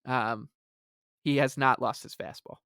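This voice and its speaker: Male co-host, mid-pitched smooth voice, dry close recording, casual, wry and stumbling over starts. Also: steady tone, a little slow, pushed and bright, no echo. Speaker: podcast co-host. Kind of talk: casual chat.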